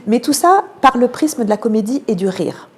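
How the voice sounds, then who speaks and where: A woman with a French accent from France giving measured, explanatory answers as a radio interview guest, pausing often to find her words. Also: a little high, lively intonation, a little mellow. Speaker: theatre programme manager, interview guest.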